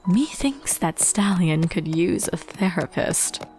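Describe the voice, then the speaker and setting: A woman's voice: lowish voice, expressive, slow pace, a little mellow. A friendly unicorn schoolteacher from a pony storybook, acted out by a woman, with hearty, cheerful manners. Music plays behind the voice. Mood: wry, amused.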